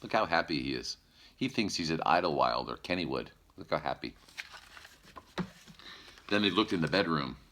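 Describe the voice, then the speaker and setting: Male storytime reader: low, forceful, lively, playful, speaking straight to children. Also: normal speed, long pauses, a little hiss or hum. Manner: playful, addresses young listeners directly. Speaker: news anchor reading a children's story. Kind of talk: animated storytime read-aloud with asides to children.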